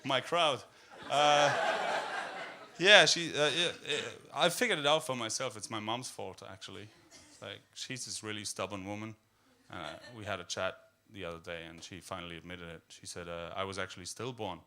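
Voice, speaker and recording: Deadpan Austrian man, noisy live recording. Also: on the low side, moderate pace. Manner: deadpan, dark humor, many fillers. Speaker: stand-up comedian. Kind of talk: stand-up set. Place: comedy club stage.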